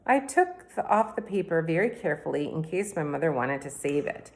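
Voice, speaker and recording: A woman reading a children's book aloud in a plain, even storytelling manner. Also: deep voice, gravelly. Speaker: reader.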